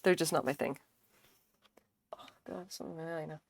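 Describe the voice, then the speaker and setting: A woman's voice: low voice, brisk, creaky, no echo. Chatty Irish woman streaming, dry close sound. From Ireland. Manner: chatty, rambling, swears freely, laughs often. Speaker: crochet streamer. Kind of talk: casual live-stream chat.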